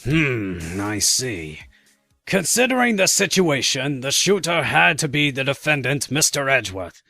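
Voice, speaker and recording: A man's voice: medium pitch, big pitch swings, a little slow, vocal fry, pushed and bright, faint music behind, full character voice. A man playing a stern, pompous old courtroom judge with a formal, authoritative delivery in a fan audio drama. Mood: stern, deliberating.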